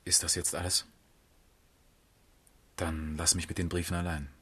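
A grieving gentleman in a radio drama. His voice is very low and husky, with a commanding, brooding manner that suits the master of a house. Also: level tone, measured pace, full character voice. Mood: shaken, curt. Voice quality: deep, husky. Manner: commanding, impatient, then brooding. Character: brusque, shocked master grieving his friend. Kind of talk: German radio drama acting.

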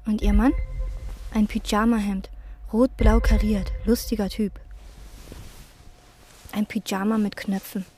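An actress playing a withdrawn young hotel chambermaid who answers in flat, clipped phrases. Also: female voice, medium pitch, measured pace, pauses often, slight background noise, natural voice. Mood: murmuring. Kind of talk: radio play acting with inner monologue.

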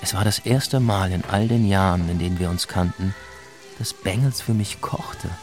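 A man's voice: sits low, normal speed, a little mellow, close to an everyday voice. An actor playing a laconic police officer who narrates his own story in a dry, wry way for a radio drama. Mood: dry, pensive. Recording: music behind the voice. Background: music.

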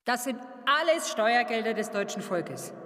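An actress playing a scornful, accusing housewife in a German radio play. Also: female voice, fairly high pitch, lively intonation, moderate pace, lightly acted. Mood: spiteful, accusing. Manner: accusing, contemptuous. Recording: long reverb, loud ambient noise.

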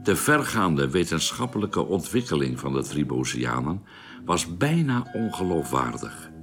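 An actor playing a sober spaceman who narrates his expedition in a radio drama with measured, formal storytelling. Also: lowish voice.

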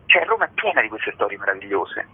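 A man, an actor, telling a story and answering questions as a radio guest on a phone line, in an animated, emphatic way. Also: on the low side, fast talker, slightly rough.

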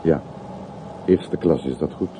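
An actor playing a gentle, melancholy old railway porter in a radio play, speaking in measured, earnest phrases. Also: male voice, extremely low pitch. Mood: deferential. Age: older. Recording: slightly noisy old radio recording.

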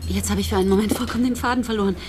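An actress playing a composed, righteous middle-aged politician's wife in a radio play, earnest and polite. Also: female voice, mid-range voice, fairly even intonation, racing, background noise, close to an everyday voice. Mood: polite chatter.

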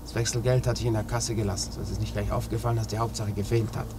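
A man's voice: on the low side, level tone, very fast, lightly acted. An actor playing a cornered male criminal confessing to an inspector in a 1950s radio crime drama. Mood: matter-of-fact confessing. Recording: noisy old 1950s radio recording.

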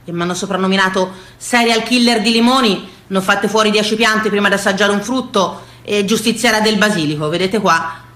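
A woman performing a comic autobiographical stage monologue in a theatrical, expressive storytelling manner. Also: medium pitch, brisk, few pauses.

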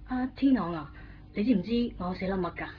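A woman's voice: mid-range voice, quick pace, close to an everyday voice. A woman acting a playful, enigmatic young girlfriend in a Hong Kong Cantonese radio drama, casual and matter-of-fact. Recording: muffled radio drama, faint background noise.

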